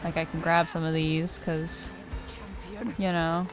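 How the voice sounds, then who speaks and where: A woman's voice: sits low, little pitch movement, slow. A young woman doing casual, chatty and slangy let's play commentary as a gaming streamer. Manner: chatty, slangy, reacting aloud. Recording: game music behind voice. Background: video game music.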